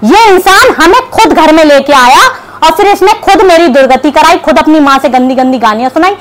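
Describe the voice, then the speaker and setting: A woman's voice: high voice, lively intonation, a little fast. A young woman vlogger giving combative reaction commentary to camera, scolding and sarcastic. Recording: clear background noise.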